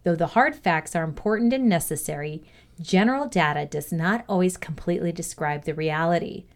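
A woman reading scripted educational narration in a measured, deliberate way. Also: on the low side. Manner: measured, deliberate read-aloud delivery. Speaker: reader of a values lesson.

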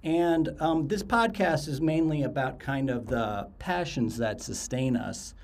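A man's voice: medium pitch, unhurried. A man hosting a podcast, chatting casually with guests and often repeating words as he restarts. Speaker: podcast host.

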